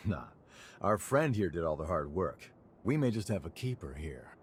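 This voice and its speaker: A man's voice: low-pitched, sing-song, normal speed, a little hiss or hum. An actor playing an easygoing, wry male hunter in a video game cutscene, speaking in a casual, colloquial way. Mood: wry, teasing.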